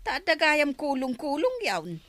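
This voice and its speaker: Actress as boastful schoolteacher older sister, Ilocano accent, very animated high voice, dry studio sound, fussy exclamations, comedic drama. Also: female voice, unhurried, no room sound, exaggerated voice. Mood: showing off. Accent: Ilocano, Philippines.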